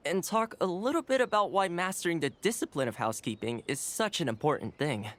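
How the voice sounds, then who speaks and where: An actor playing a polite, earnest young male housekeeper who teaches a class in a video game, speaking clearly and courteously. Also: on the high side, animated, brisk, fairly bright tone. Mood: earnest, welcoming. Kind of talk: video game voice acting, instructional speech. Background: background music.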